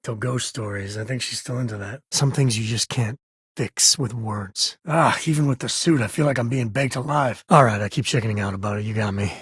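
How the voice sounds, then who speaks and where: A husky, wry spacefaring ranger from a video game, with a mid-pitched male voice. He talks in plain, folksy phrases like a protective, easygoing dad. The sound is close and dry. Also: fairly quick, fairly bright tone, dry recording. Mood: earnest, low-key. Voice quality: husky, raspy. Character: laid-back, protective spacefaring frontier ranger and devoted father. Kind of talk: video game character voice lines.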